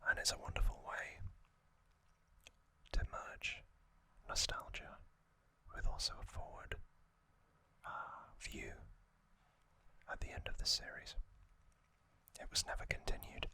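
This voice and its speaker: A man hosting ASMR, narrating in a soft, breathy whisper with a gentle, reflective manner and pauses, close to the microphone. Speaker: ASMR video host.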